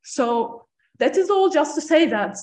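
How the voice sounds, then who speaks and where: A woman, her voice sitting a little high. She talks in a measured, earnest way, leaning on "so" and "uh" between points. The sound carries the echo of a lecture room. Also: animated, a little mellow. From Turkey. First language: Turkish. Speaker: panel speaker. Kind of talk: prepared talk at a panel event. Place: lecture room on stage, seated panel.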